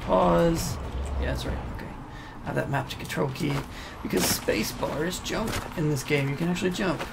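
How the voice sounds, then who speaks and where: Gravelly man giving casual game commentary. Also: a little high, normal speed. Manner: informal, rambling, thinking aloud. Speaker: gaming video host. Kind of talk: casual let's play commentary, explaining game interface. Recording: noisy voice-over over game ambience. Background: video game sounds and music.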